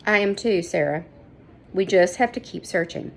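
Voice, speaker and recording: A woman voicing a friendly young boy adventurer in a storybook, steady and encouraging as he explains. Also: fairly low pitch, moderate pace, creaky, slight background noise. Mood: reassuring. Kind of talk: storybook character voice.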